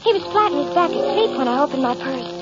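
An actress playing a coy, cheerful murderess in old radio drama, chatty and mock-innocent. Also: female voice, high-pitched, expressive, fast talker, loud music behind the voice. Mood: confiding, smug. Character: cheerful, coy murderess narrating her crimes, primly self-justifying. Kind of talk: 1940s-50s radio drama acting. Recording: dull old radio broadcast, noisy.

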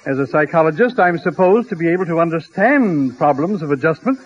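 A man's voice: a little high, animated, a little fast, lightly acted. A man playing a courteous, earnest, self-deprecating psychology professor in 1950s radio comedy style. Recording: dull, faintly noisy old radio recording.

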